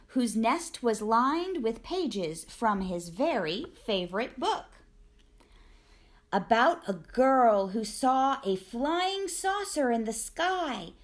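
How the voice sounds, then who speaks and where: A woman reading a rhyming children's storybook aloud in an expressive, deliberate storytelling manner. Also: fairly high pitch. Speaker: teacher reading a picture book aloud.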